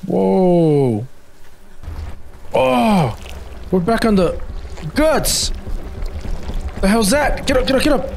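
A man's voice: high voice, big pitch swings, slow pace, vocal fry, pauses often, a little mellow, slight background noise. A man giving casual, slangy gameplay commentary, blunt and often repeating short phrases. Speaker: video game streamer.

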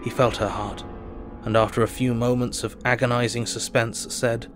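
An actor playing an earnest, anxious asylum doctor, narrating his diary in measured, literary audio drama style. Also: medium pitch, level tone, music in the background, close to an everyday voice. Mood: tense, measured.

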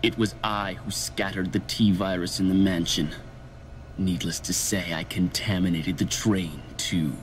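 An actor playing a haughty, vengeful villain in a video game, theatrical and gloating in a deliberate way. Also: male voice, deep voice, fairly even intonation, slight background noise. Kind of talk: video game cutscene acting.

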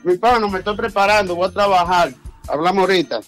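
A man with a somewhat high voice chats on a phone call. His speech is informal and repetitive as he explains himself. Faint music plays behind him. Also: quick pace. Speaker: prank call victim, ordinary night-shift worker. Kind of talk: casual phone conversation.

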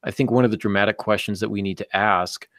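A middle-aged man hosting a casual discussion about writing, explaining things in a measured way. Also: lowish voice, steady tone, fairly bright tone, no room sound, studio quality. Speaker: podcast host and novelist. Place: indoor office, on a video call.

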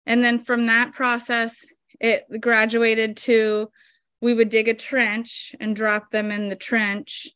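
A woman's voice: fairly high pitch, completely flat delivery, takes it slowly, fairly bright tone, clear, resonant tone, no echo. A woman in her thirties, a flower farmer guest explaining her work step by step with lots of fillers, over a video call from home. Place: home room indoors. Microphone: video call webcam.

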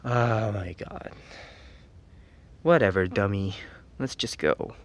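Man acting a slow-talking, grumpy tsundere schoolboy. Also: lowish voice. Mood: exasperated scolding. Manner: exasperated, whiny scolding with sighs. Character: grumpy, teasing tsundere teenage boy best friend. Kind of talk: ASMR roleplay.

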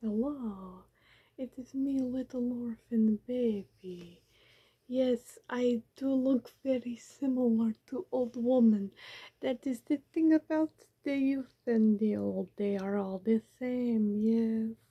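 A woman whispering as a vampire pretending to be a helpless baby, begging slowly to be let in. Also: a little high, lo-fi sound, heavily acted. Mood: whiny begging. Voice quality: breathy whisper. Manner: slow, whiny, begging. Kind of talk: whispered ASMR roleplay.

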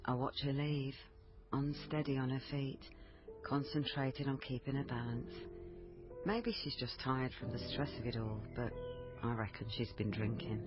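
Observant, sober female police officer, narrating a radio crime drama; slightly dull sound over clear music. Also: extremely low pitch. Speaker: lead actress and first-person narrator.

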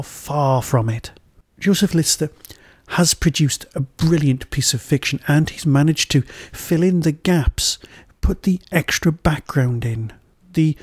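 A man's voice: mid-range voice, darkish tone, no echo. Dry-sounding male podcast reviewer. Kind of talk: scripted review monologue.

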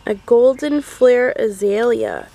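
A woman's voice: fairly high pitch, slow pace, creaky. A woman chatting casually as she walks a garden with her camera, hedging on the names of the plants. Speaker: guide filming a garden tour. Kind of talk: casual walking garden tour. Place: outdoors in a library garden. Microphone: handheld camera mic. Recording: loud outdoor noise on a camera mic.

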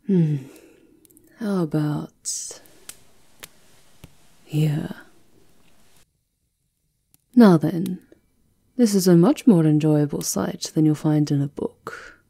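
Woman as aristocratic vampire countess, ASMR roleplay, mellow dark tone, husky and breathy, frequent whispers, tender, seductive, unhurried. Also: low-pitched, full character voice. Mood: playful, seductive. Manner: slow, sensual, unhurried murmuring. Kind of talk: ASMR roleplay, intimate scripted monologue.

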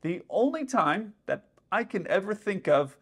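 A man's voice: sits high, sing-song, unhurried, fairly bright tone, husky. Man explaining aviation to camera. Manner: explanatory, with frequent "let's say" asides. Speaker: airline pilot commenting on radio audio. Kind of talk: casual commentary to camera. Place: dim indoor room.

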